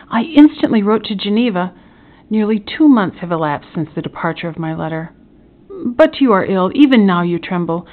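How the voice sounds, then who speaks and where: A woman's voice: mid-range voice, natural voice. Woman reading a grave magistrate, muffled audio. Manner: formal, measured. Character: benevolent male magistrate, measured, grave and fatherly.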